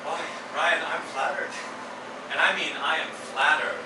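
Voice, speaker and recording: Sassy, higher-voiced high school boy, acted by a man. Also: steady tone, unhurried, natural voice. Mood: flattered, coy. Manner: arch, dramatic, withering. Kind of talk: staged musical reading acting. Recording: very echoey live stage, distant, noisy.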